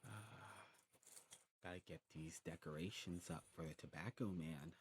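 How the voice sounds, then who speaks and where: A man's voice: sits low, a little slow, slight background noise. A man doing an ASMR role-play as an eager demon shopkeeper, explaining things in a rambling, informal way. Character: male demon shopkeeper of a snuff shop, eagerly welcoming.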